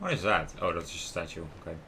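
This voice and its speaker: A man's voice: deep voice, very fast, sharp tone, a little hiss or hum. A man streaming a game, giving casual commentary in short muttered asides to himself. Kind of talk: casual live gameplay commentary.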